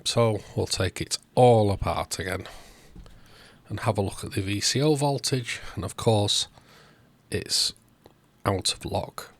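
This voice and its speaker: A man hosting a repair video, talking through the work step by step in short, unhurried phrases. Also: on the low side. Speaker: host of electronics repair videos. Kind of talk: narrated repair walkthrough.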